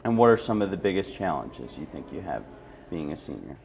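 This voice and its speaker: A man's voice: sits low, sing-song, a little fast, creaky, darkish tone, breathy edge. A man hosting a friendly conversational interview in an encouraging, affirming manner. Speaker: interviewer and host.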